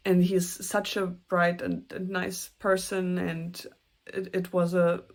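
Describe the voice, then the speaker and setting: A young woman with a German accent, chatting earnestly to camera about books she plans to read, with a few soft, self-conscious laughs. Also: fairly low pitch, monotone, slow pace. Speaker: booktuber. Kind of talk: casual book talk to camera.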